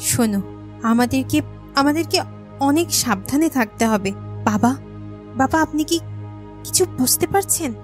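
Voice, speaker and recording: A woman voicing a caring, cautious village mother in a cartoon, gently warning and affectionate. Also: high-pitched, lively intonation, normal speed, long pauses, faint music behind. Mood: cautious, worried.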